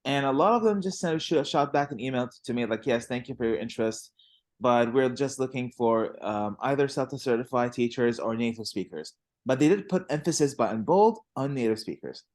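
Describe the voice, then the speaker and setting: A man's voice: medium pitch, animated, brisk, a little mellow. A man in his twenties, a native Arabic speaker, talking conversationally as an interviewer. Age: 20s. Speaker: interviewer, English teacher.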